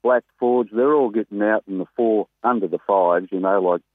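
An Australian man, a greyhound trainer, chatting casually and excitedly as a guest on a phone interview. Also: fairly low pitch, moderate pace, no room sound. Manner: chatty, rambling, excitable about racing. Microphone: telephone. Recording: tinny phone line.

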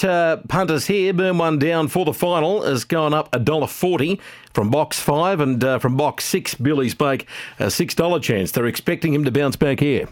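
A man with an Australian accent hosting a radio racing show, chatting through a sports preview with a guest. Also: a little high.

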